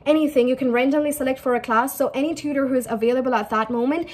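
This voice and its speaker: A woman's voice: high voice, very fast, a little mellow. A young woman, teaching viewers in a chatty way and often repeating her points and asking them questions. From India. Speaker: English tutor. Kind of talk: casual teaching talk to camera. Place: small indoor room.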